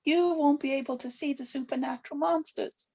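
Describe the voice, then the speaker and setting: A woman's voice: high voice, fairly even intonation, a little fast, darkish tone, dull audio. A woman playing a stern, evasive old witch grandmother in a drama script table read.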